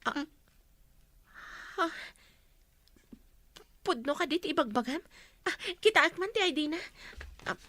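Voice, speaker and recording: A woman's voice: high voice, animated, slow pace, pauses often, fairly bright tone, full character voice. An actress playing an impulsive young woman wounded in love, in a radio drama. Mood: startled, doubting. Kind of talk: Ilocano radio drama acting.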